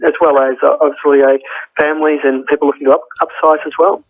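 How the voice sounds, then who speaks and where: A man giving a halting, unscripted interview comment as an industry spokesperson. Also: fairly high pitch, little pitch movement, no echo. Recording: narrow, tinny phone line.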